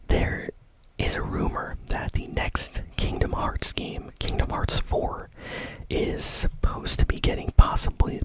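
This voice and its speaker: Whispering ASMR gaming news host. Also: rough recording. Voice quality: breathy whisper. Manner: soft-spoken, casual, unhurried chatter.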